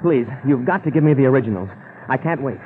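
An actor playing an earnest, deferential undercover informant in a 1940s radio drama, speaking politely and precisely. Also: male voice, mid-range voice, lively intonation, quick pace, close to an everyday voice. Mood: anxious, urgent. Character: anxious, deferential male informant inside a Japanese society. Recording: noisy, tinny 1940s radio recording.

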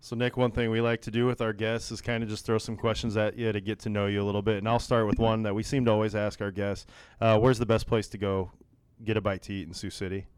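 Male interviewer with a smooth voice. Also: fairly low pitch, flat delivery, fast. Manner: friendly, conversational questions. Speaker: interview host. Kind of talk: podcast-style interview.

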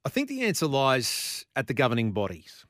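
An Australian man hosts a sports talkback show in a conversational, questioning way. His voice sits at an ordinary middle pitch. The sound is close and dry. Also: wildly swooping pitch, a little fast, a bit bright, dry recording. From Brisbane, Australia. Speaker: radio sports host. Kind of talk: talkback radio monologue.

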